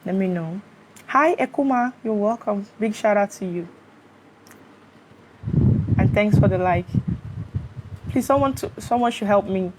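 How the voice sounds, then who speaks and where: A woman's voice: mid-range voice, measured pace, long pauses. A Nigerian woman hosting a live stream, chatting casually on a phone, greeting her viewers and checking they can hear her. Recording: steady fan noise behind.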